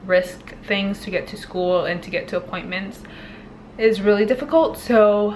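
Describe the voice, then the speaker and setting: A woman's voice: medium pitch, normal speed, a little mellow, a little hiss or hum, poor audio. A woman in her twenties from Hawaii, a dental student chatting casually in her vlog with lots of fillers. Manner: chatty, upbeat, many fillers like "um" and "like". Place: home, indoors.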